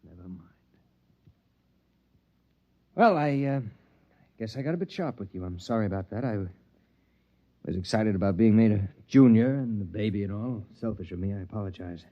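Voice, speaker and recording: An American actor playing a domineering, ambitious, suspicious husband in a sci-fi radio drama, with a stern, lecturing manner. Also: male voice, fairly low pitch, lively intonation, a little fast, lightly acted. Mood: probing, guarded. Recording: dull, band-limited vintage radio recording.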